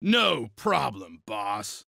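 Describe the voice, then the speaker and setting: Raspy, excitable male wolf rebel. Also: mid-range voice, very slow, no room sound, clean studio sound, exaggerated voice. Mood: confident. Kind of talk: dramatic video game voice acting.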